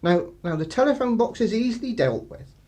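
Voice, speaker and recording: A man acts a village constable in a radio drama, with an English accent. He is apologetic, plodding and slyly polite, his pitch rising and falling in a very lively way. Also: fairly quick. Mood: deferential, hesitant. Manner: hesitant, apologetic, polite, roundabout. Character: deferential, plodding but sly male village constable. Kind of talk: radio play acting.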